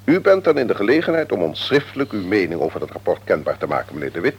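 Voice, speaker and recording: Stern pompous company boss, raspy voice, very up and down delivery, old radio play. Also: male voice, fairly low pitch, wildly swooping pitch, exaggerated voice. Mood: officious. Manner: pompous, officious, measured formal phrasing. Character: stern, pompous male company executive, a superior.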